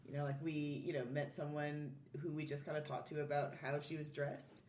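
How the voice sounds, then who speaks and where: A woman's voice: low-pitched, little pitch movement, normal speed, a little mellow, far from the mic. A woman chatting casually and effusively as a podcast guest, telling stories in an easy conversational way. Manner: effusive, laughs easily. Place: live in-person podcast recording in a room. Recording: roomy echo, off-mic, faint noise.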